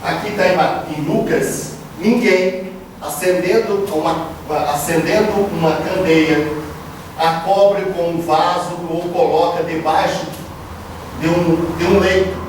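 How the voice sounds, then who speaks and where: A man's voice: high voice, steady tone, slow. A man giving an earnest religious lecture to a live audience, explaining and repeating phrases for emphasis. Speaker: speaker giving a public spiritist lecture. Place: lecture room at a spiritist house. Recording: very echoey hall, distant mic, background noise.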